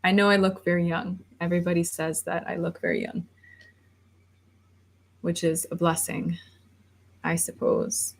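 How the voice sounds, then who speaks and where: A woman's voice: fairly low pitch, flat delivery, measured pace, gravelly, pauses often. A woman in her thirties, rambling casually on a livestream and reading viewer comments aloud before answering them. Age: 30s. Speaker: livestream host.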